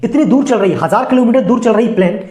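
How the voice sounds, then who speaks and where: Woman, Indian accent, fairly high voice, chatty explainer narration, playful asides, echoing room. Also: lively intonation, quick pace, mellow. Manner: chatty, colloquial, addresses viewers directly with playful asides. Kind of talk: informal educational voice-over. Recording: roomy, echoing voice-over.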